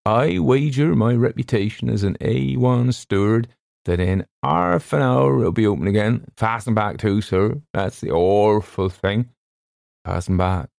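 A man's voice: fairly low pitch, measured pace, vocal fry, darkish tone, dry recording, studio quality. A man voicing a nervous, working-class male ship's steward who speaks servilely and says "sir" constantly. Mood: triumphant.

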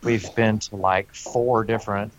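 A man calling into a podcast over a video call, telling road-trip stories with deadpan jokes and chuckles. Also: lowish voice, measured pace, highs cut off, crowd noise. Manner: deadpan joking, laughs at own stories. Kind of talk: casual chat.

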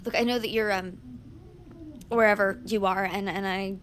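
An actress playing a vulnerable young heroine in a horror audio drama, speaking naturally in a halting, emotional way. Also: female voice, medium pitch, steady tone, a little hiss or hum, natural voice. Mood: anxious, halting. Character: anguished, hesitant young woman, self-sacrificing heroine. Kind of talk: naturalistic audio fiction acting with narration.

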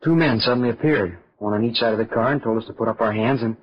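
Man playing a husky, earnest young man. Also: mid-range voice, fast talker, natural voice. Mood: earnest, polite. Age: young adult. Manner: respectful, straightforward. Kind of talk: old-time radio mystery acting. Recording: dull muffled old radio recording.

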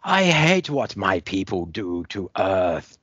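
A man voicing a young female alien outcast who speaks in broken, halting phrases. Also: sits low, sing-song, fairly bright tone, no echo, heavily acted. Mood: desperate. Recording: dull lo-fi dry recording.

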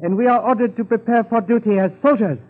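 Husky high-voiced Japanese spy chief. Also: male voice, quick pace. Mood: solemn, zealous. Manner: formal, stilted, clipped English. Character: Japanese secret society chief and spy, curt, zealous. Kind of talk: 1940s radio acting. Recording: tinny, muffled 1940s radio recording.

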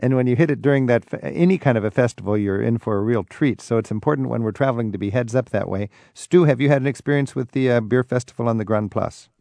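A man hosting a radio travel show, chatting with guests and callers in a relaxed, curious way and laughing easily. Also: medium pitch, quick pace, gravelly, hardly any pauses, dry recording. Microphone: studio microphone.

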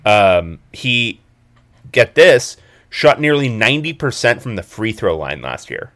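A man's voice: mid-range voice, big pitch swings, measured pace, a bit bright. A man co-hosting a casual sports podcast, talking in an analytical way.

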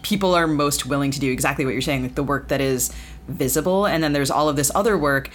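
Woman, podcast host, very deep voice, thoughtful, lots of ums and likes. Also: quick pace, a little hiss or hum. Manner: thoughtful, many fillers. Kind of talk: casual podcast conversation.

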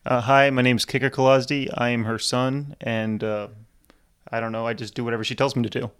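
Casting: American male guest from New Orleans, mid-pitched, joking and self-deprecating, loose conversational delivery. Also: fairly even intonation, brisk, fairly bright tone, clear, resonant tone. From New Orleans, United States. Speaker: family business worker, interview guest. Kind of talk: casual chat.

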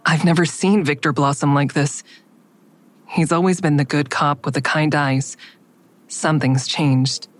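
A woman's voice: low-pitched, fairly even intonation, measured pace. An actress playing an anxious, guarded woman who narrates her own interrogation in an audio drama, restrained and introspective. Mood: quiet dread. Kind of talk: first-person audio drama narration and dialogue.

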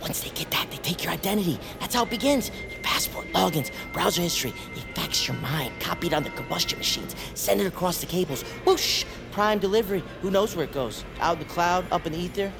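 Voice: medium pitch, animated, fast, gravelly, few pauses, music in the background. An actor playing a manic, paranoid, chatty psychiatric patient in an audio drama, rambling theatrically and slipping into whispers like a playful tour guide. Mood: paranoid, agitated.

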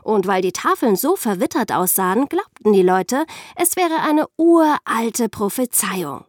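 A woman's voice: fairly high pitch, big pitch swings, a little slow, no echo, studio quality. A woman hosting a podcast, telling and explaining scripted stories in a chatty way for young listeners. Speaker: podcast host and narrator.

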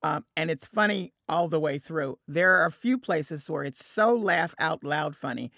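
A woman's voice: low-pitched, animated, moderate pace, creaky, fairly bright tone, dry recording, studio quality. A woman hosting a conversational radio interview, chatty and emphatic in how she talks.

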